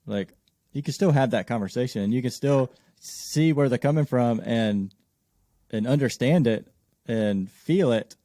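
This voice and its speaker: A man's voice: medium pitch, measured pace, mellow, no echo, studio quality. A man chatting casually on a podcast in an easygoing, agreeable manner. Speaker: podcast host appearing as guest.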